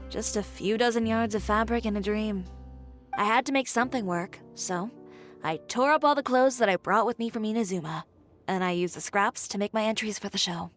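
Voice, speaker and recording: A woman's voice: medium pitch, close to an everyday voice. A woman playing a blunt, fiercely determined young female fashion designer in a video game, composed, dry and self-assured. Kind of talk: video game character acting. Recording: game music behind voice. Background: game music.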